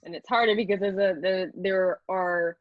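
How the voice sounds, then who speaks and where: A woman's voice: medium pitch, fairly even intonation, moderate pace, no echo, muffled recording. A woman interviewer chatting casually, with a playful, giggly manner.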